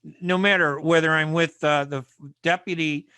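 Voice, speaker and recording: Male radio host from the US, bright, forceful tone, dry close sound. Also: fairly high pitch, fairly even intonation, normal speed, clear, resonant tone, no echo. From United States. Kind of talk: conversational radio interview.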